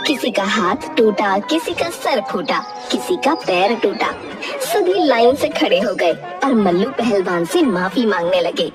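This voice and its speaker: Animated woman narrating a story. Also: mid-range voice, measured pace, recorded from a distance, music in the background. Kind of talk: scripted children's story narration.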